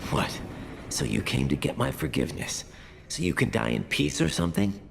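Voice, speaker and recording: Bitter, gravelly young alien son. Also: male voice, mid-range voice, expressive, moderate pace, slight background noise. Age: young adult. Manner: scornful, volatile. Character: bitter, angry young male alien, resentful estranged son. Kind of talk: video game voice acting.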